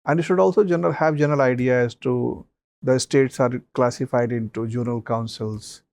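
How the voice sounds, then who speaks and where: Gravelly guy giving interview feedback, measured, lots of uh. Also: medium pitch, a little mellow, no echo. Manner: advising, measured, many fillers. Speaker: mock interview panelist.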